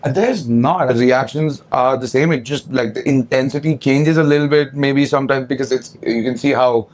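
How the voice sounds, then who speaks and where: A young man from India with an Indian accent, a touring band singer, talking loosely and frankly as a guest in a casual interview. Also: medium pitch. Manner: chatty, loose and frank, with quick asides. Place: outdoors at a hot festival.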